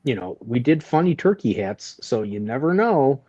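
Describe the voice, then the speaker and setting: A man's voice: medium pitch, very animated, normal speed, dark tone, no echo. A man co-hosting a casual barbecue live stream, rambling and joking as he repeats phrases and makes announcements. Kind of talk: casual banter and show announcements. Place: video call on a live stream. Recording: slightly dull lo-fi stream audio.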